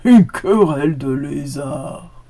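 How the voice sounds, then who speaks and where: A storyteller voicing a strong old ox who scoffs loudly and threatens with his horns. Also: little pitch movement, creaky. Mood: boastful. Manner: lowing, drawn-out and boastful.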